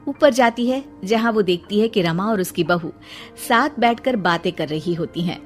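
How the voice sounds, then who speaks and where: A woman's voice: mid-range voice, animated, normal speed. Woman storyteller narrating over music.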